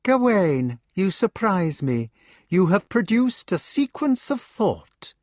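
A man's voice: on the high side, very animated, takes it slowly, dry recording, studio quality, voice pushed up, exaggerated voice. A man voicing a cold, sardonic, bitterly defiant humpbacked knight in a storybook reading. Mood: cold, sneering. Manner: icy, sardonic, nagging.

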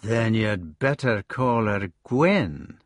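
Bitter sardonic knight, as a man puts him on, slow, pointed, dry sound. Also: on the high side, very animated, fairly bright tone, husky, no room sound, voice pushed up, heavily acted. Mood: sly.

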